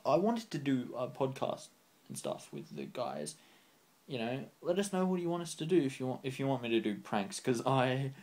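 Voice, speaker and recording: A young man signing off his vlog, rambling off the cuff and earnest, his voice getting a bit croaky. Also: mid-range voice. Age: young adult. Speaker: channel host. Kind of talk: unscripted vlog sign-off. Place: small room indoors, on a couch.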